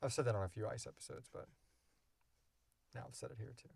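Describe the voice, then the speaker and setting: A man's voice: medium pitch, fast talker, vocal fry, darkish tone, slightly rough, no echo. A man in his thirties hosting a casual podcast Q&A, rambling along in an enthusiastic, jokey way. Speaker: podcast creator and host. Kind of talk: casual Q&A chat.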